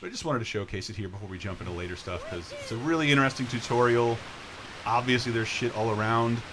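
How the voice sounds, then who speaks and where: A man's voice: mid-range voice, fast, a crowd in the background. A man hosting casual live video game commentary, explaining things in an informal, sweary way. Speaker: host playing and demonstrating a video game.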